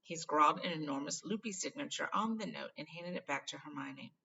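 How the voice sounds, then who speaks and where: A woman narrates a children's novel expressively in a low voice with a creaky edge. Her delivery is bright, and the home recording sounds slightly dull. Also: a little fast, slight background noise. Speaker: storybook reader.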